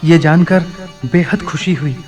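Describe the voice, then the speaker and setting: Man acting an encouraging, higher-voiced letter writer. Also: a little slow, a little mellow, faint music behind, natural voice. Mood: hopeful. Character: warm, encouraging young man reading his letter. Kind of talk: Hindi radio play acting.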